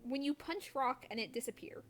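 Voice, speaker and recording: A woman's voice: high voice, fairly quick, slight background noise. A woman chatting casually and jokily as she commentates a live game stream with friends. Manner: playful, jokey.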